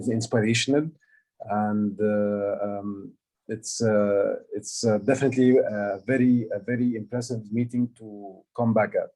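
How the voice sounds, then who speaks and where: A man's voice: lowish voice, warm tone, low-quality recording. A man from Egypt, not an English speaker, giving a meeting report on a video call with many fillers and restarts. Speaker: aha counter and grammarian. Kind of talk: online club meeting report.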